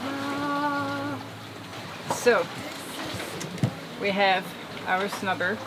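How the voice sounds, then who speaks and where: A playful, teasing woman chats in an upbeat, slightly high voice. She is recorded in a small cabin at sea, and loud engine and water noise runs under every word. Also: very slow. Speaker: sailing vlog host. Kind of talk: casual chat on a boat.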